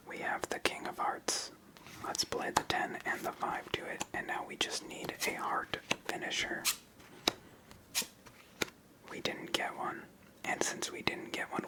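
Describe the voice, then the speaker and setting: A person whispering gentle step-by-step ASMR commentary while playing a solitaire card game as host. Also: low-quality recording.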